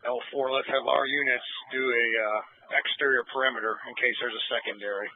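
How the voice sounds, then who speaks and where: A man's voice: mid-range voice, flat delivery, fairly quick, no room sound, phone-quality sound. A male officer speaks in a mid-range voice in brief radio calls. The sound is dry and squeezed into a thin radio band. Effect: police radio transmission. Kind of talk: police radio communication.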